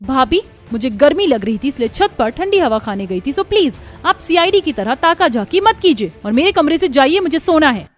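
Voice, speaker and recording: A woman's voice: high voice, big pitch swings, normal speed, hardly any pauses, voice pushed up. A woman voicing a hot-headed, lovestruck young sister-in-law in a cartoon family drama, dropping English phrases into her talk. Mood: irritated, defiant.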